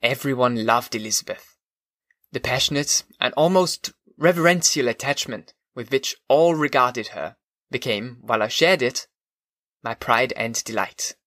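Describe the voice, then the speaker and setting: An earnest gentleman, acted by a male audiobook reader, recounts his life with a German accent. His delivery is formal and measured, in a medium pitch. The recording is dry and free of room sound. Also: no room sound, lightly acted. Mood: earnest, devoted. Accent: put-on German. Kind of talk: audiobook narration of classic novel.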